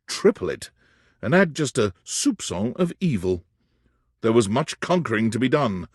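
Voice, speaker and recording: A man's voice: medium pitch, slightly rough, no echo, studio quality. A man reading scripted second-person narration for a game as a dramatic, wry storyteller.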